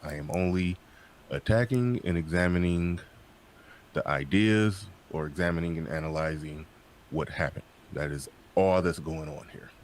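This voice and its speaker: A man giving careful, formal commentary in a measured way, heard as quiet playback of a video. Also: deep voice.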